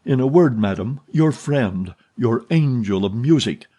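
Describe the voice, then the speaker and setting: A man's voice: on the low side, expressive, a little slow, warm tone, slightly rough. A man voicing a jealous, bitter young man in an audiobook reading, delivering impassioned and sarcastic outbursts.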